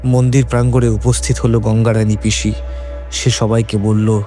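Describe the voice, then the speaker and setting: A man's voice: lowish voice, little pitch movement, moderate pace, mellow. A man reading a scripted horror story as its narrator. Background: background music.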